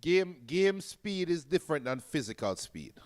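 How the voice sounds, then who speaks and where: A man's voice: fairly high pitch, sing-song, moderate pace, dry recording, clean studio sound. A man co-hosting a football watch-along, reading viewer comments aloud and stammering on his first words. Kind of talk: casual watch-along banter.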